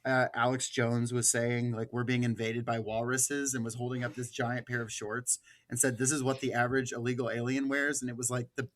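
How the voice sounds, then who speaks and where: Male co-host, bright voice, casual joking chat, wry delivery with loose profanity. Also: mid-range voice, flat delivery, brisk, hardly any pauses. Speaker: podcast co-host.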